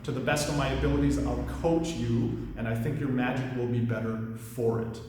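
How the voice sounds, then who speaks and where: A man's voice: mid-range voice, fairly even intonation, normal speed, darkish tone, a little hiss or hum. Man talking in a very echoey room. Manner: rambling, with many fillers and restarts. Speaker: magic coach and competitor. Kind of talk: casual commentary. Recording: very echoey room with long reverb.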